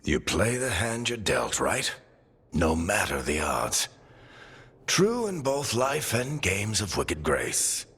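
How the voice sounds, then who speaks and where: An actor playing a seasoned, wry rogue mentor in a video game, rough-voiced, folksy and fatherly in his advice. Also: fairly low pitch, huge pitch swings, slow pace, fairly bright tone, heavily acted. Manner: wry, folksy gambling talk, reassuring. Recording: long reverberant hall.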